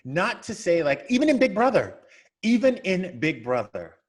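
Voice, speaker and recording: A man's voice: high-pitched, sing-song, normal speed. A man speaking as a podcast guest in casual chat, emphatic and repeating words for stress.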